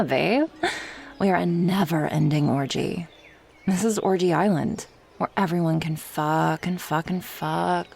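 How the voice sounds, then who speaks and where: Woman playing a languid, creaky-voiced party-girl hedonist. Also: lowish voice, a little mellow, slight background noise. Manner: casual valley-girl slang, languid and teasing. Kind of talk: audio drama character acting.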